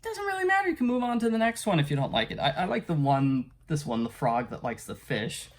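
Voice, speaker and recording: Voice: mid-range voice, big pitch swings, fast. Casual vlog-style book reviewer, unscripted and hesitant, rambles and trails off with small chuckles.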